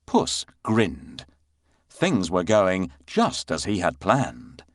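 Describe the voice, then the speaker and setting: Slow, very animated male storyteller. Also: sits low, no room sound. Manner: expressive, dramatic storytelling delivery. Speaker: storyteller narrator. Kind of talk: scripted children's bedtime story narration.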